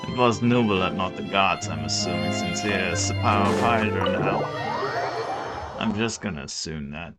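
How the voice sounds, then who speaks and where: A low-pitched streamer comments casually on a game. The talk is offhand and conversational, with loud game music behind it. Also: moderate pace. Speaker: gameplay streamer.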